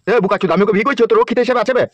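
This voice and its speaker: A man acts out a crude, arrogant male politician for a comedy cartoon. His voice sits high and rattles along very quickly, brusque and dismissive, in dry, slightly lo-fi sound. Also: no room sound, close to an everyday voice. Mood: defensive. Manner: brusque, threatening. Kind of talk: amateur Bengali comedy cartoon dialogue.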